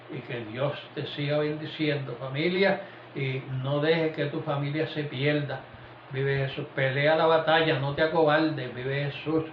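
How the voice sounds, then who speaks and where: A man's voice: a little high, a little hiss or hum. A man preaching an informal family prayer sermon, repeating "vive Jesús" and "aleluya" between phrases and dropping his voice on them. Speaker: lay preacher. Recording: echoey, dull, lo-fi room recording.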